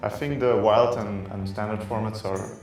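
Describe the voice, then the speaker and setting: A male gamer answers interview questions in a very echoey space with guitar music behind him. His voice is on the low side and quite monotone. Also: moderate pace. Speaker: esports player. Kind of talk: pre-recorded interview answers. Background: plucked guitar music.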